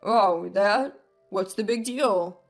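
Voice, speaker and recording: A woman's voice: mid-range voice, slow, faint music behind, voice pushed down. A woman voicing a selfish, domineering husband in a narrated family drama, curt, scolding and condescending. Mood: dismissive. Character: domineering, dismissive, selfish husband, adult man. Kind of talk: acted dialogue in a narrated family drama story.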